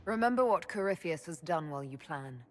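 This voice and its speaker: An actress playing a resolute leader of a fantasy army in a video game, speaking in a firm, commanding manner. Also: female voice, low-pitched, animated, a little fast, soft background music. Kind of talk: dramatic video game acting.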